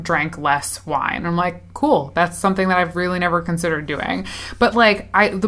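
A woman's voice: on the low side, pushed and bright. A woman in her late twenties co-hosting a podcast in casual chat, talking with lots of fillers and laughter. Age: 20s. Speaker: podcast co-host.

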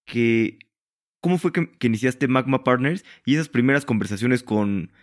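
Un hombre que conduce una entrevista de manera conversacional, repitiendo palabras mientras arma sus preguntas. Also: male voice, mid-range voice, moderate pace. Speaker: interviewer, podcast host. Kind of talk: conversational interviewing.

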